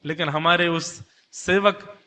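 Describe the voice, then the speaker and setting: Man playing a devout, earnest old householder, high-voiced. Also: steady tone, takes it slowly, sharp tone. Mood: pleading. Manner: earnest, beseeching, repetitive. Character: devout, tender old householder, childless and pleading. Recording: long hall reverb.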